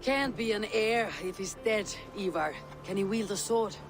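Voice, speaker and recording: An actor playing a bold, poetic Norse warrior and clan leader in a video game. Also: male voice, high voice, moderate pace, over music. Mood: wry, frank. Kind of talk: video game cutscene acting.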